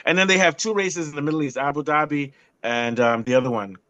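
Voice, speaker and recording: A man sounds off on a casual motorsport podcast. He is blunt and animated, often restarting his sentences mid-rant. His voice is dry and close, with no room sound. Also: on the high side, quick pace, no room sound. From not European. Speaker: podcast co-host. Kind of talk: casual opinionated chat.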